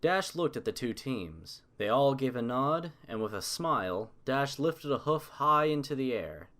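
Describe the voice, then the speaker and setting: A man's voice: medium pitch, expressive, unhurried, a bit bright. A man reading scripted story narration as the narrator.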